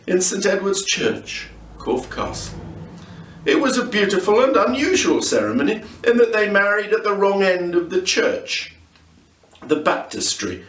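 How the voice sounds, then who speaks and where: Male storyteller, animated and fairly high in pitch, expressive children's narration, recorded roomy and distant. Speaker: storyteller reading aloud. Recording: roomy echo, distant microphone.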